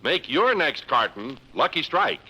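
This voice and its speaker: A man's voice: on the high side, big pitch swings, measured pace, sharp tone, husky, poor audio. An American man announcing in 1950s radio advertising style with emphatic, polished salesmanship.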